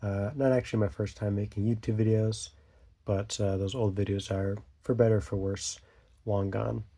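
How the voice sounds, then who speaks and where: A young man with a low, mellow, stuffy voice, getting over a cold. He rambles through an unscripted vlog in a flat, even tone, full of ums and trailing thoughts. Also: moderate pace. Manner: hesitant, many fillers and trailing thoughts. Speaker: channel host.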